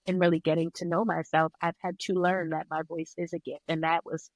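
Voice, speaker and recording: A woman's voice: fairly low pitch, little pitch movement, a little fast, dry recording. A woman speaking as an interview guest, reflective and conversational, with an earnest, encouraging way of talking. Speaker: podcast guest, breathwork and yoga instructor.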